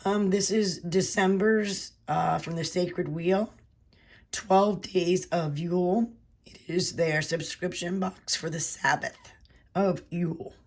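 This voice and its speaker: A woman with a hoarse voice from a cold, hosting a casual unboxing video and gushing softly over pretty items. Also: fairly low pitch, steady tone, slow pace, slight background noise. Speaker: unboxing channel host.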